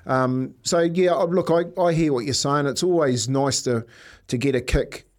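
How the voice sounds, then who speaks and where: A man's voice: medium pitch, normal speed. A man giving casual banter and analysis as a sports radio pundit.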